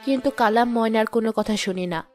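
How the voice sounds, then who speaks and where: Fast-paced woman story narrator. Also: fairly high pitch, over music.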